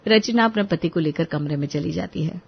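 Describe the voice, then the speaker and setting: A woman's voice: sits low, normal speed, creaky, slightly rough. Woman narrating a story, slightly muffled. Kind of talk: scripted Hindi story narration. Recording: dull, lo-fi audio.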